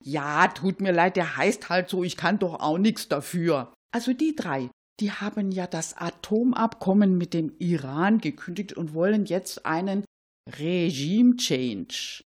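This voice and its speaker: A woman's voice: fairly low pitch, expressive, measured pace, slightly rough. A woman playing a gossipy, opinionated Swabian cleaning lady in a comic radio monologue, folksy and mock-naive. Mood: opinionated, chatty. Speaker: cleaning lady character in a satirical radio show.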